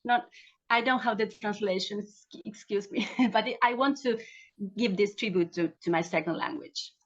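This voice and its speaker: A woman poet whose first language is Spanish, reading her poems and talking haltingly over a phone, with frequent restarts. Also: medium pitch, dull audio. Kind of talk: poetry reading and round-table chat.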